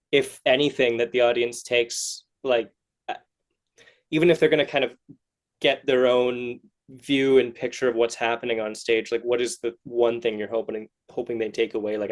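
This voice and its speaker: A man hosting a casual interview, asking questions in a halting way and often rephrasing them midway. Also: mid-range voice, steady tone. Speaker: podcast host, interviewer.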